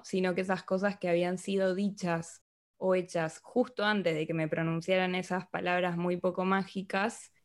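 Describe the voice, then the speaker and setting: Mujer reflexiva de treinta años, voz algo grave. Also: female voice, on the low side. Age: 30s. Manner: reflective, thoughtful. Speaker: podcast host. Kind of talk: casual interview chat.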